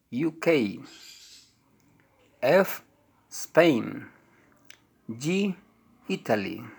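A man reading an English course book aloud, calling out words and items in a slow, deliberate way. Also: a little high, gravelly, husky. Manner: slow, deliberate listing with rising and falling item calls. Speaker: textbook audio reader.

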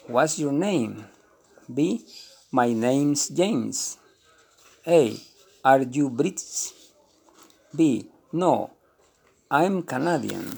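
A man reading an English textbook aloud slowly and deliberately, speaking every heading and example. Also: mid-range voice, animated, gravelly, darkish tone, slightly rough. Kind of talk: slow textbook read-aloud.

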